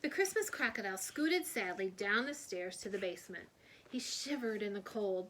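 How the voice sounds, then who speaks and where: A woman reading a children's story aloud in an expressive, animated storytelling manner. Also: mid-range voice, a little hiss or hum, low-quality recording. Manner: animated, putting on character voices. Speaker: read-aloud storyteller, teacher.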